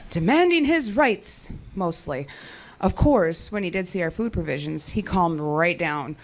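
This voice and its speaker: An actress playing a wry, direct, sharp-witted woman technician out in the woods in an audio drama. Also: lowish voice, animated, a little slow. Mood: casual, amused. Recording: night crickets and insects behind voice. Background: crickets and insect ambience.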